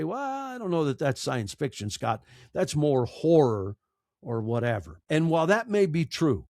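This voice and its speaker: A man's voice: fairly high pitch, a little mellow, dry recording. Emphatic man in a dry, close recording. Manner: emphatic, rhetorical questions and repeated phrases for effect. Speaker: podcast guest, broadcaster and audiobook narrator. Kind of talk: conversational interview with storytelling.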